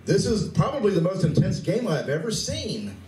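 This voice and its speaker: A man's voice: high-pitched, huge pitch swings, moderate pace, a little mellow. A man playing a cheerful game coordinator in a radio play, announcing and giving play-by-play. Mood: commentating. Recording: distant, echoey room with faint noise.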